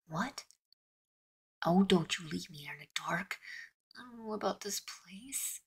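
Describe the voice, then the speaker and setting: Woman acting a husky, hesitant cartoon teen. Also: medium pitch. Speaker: amateur voice performer. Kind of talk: fan-made cartoon audio drama acting.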